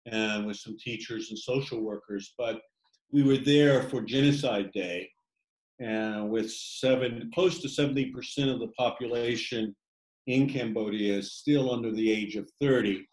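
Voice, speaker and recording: A man who writes, speaking about his book on a video call in a halting, thoughtful way with many fillers. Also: lowish voice, darkish tone, reverberant, low-quality recording. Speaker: poet reading his work. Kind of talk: reflective talk in an online reading. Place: home room on a video call.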